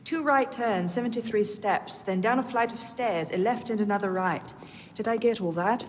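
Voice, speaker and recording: A woman's voice: a little high, moderate pace, in a large hall, slight background noise, natural voice. An actress playing a proud young noblewoman seeking revenge, speaking formally and pointedly in a dramatic video game. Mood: skeptical.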